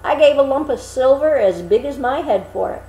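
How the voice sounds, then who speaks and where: A woman's voice: sits high, lively intonation, brisk, dark tone, echoey room, poor audio. A woman voicing a cheerful, naive young man in a storybook reading, eager and guileless. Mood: proud, innocent.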